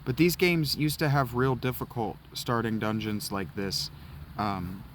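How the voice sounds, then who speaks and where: A man's voice: medium pitch, steady tone, unhurried, a little hiss or hum. A man from Ohio giving casual, rambling commentary while playing a video game, reminiscing and swearing casually. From Ohio, USA. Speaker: let's play gamer.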